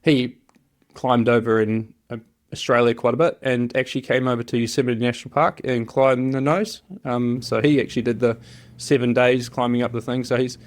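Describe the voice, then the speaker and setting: A man's voice: medium pitch, steady tone, normal speed. A man from New Zealand with a New Zealand accent, talking casually and hesitantly as an interview guest, rambling through personal stories. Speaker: interview guest, job shop machinist.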